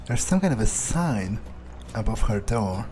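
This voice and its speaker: Mellow, low-key man roleplaying through a game. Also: big pitch swings, unhurried. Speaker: gamer roleplaying in character. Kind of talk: improvised in-character gameplay talk.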